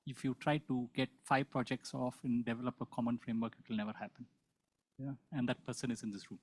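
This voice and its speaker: Panelist man, mid pitch, brief remark. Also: steady tone, fast talker. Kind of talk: panel discussion.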